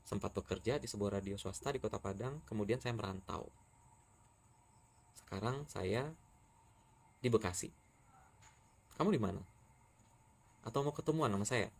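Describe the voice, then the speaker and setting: A man's voice: lowish voice, normal speed, creaky, long pauses. A man from West Sumatra firmly scolding and challenging a critic in a heated monologue. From West Sumatra, Indonesia. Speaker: TikTok creator answering a critic.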